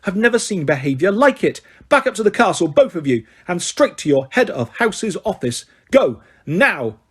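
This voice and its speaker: A man's voice: high-pitched, very animated, normal speed, breathy edge, pitched above the performer's own voice, exaggerated voice. A man voicing a strict female flying teacher who scolds shrilly. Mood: angry.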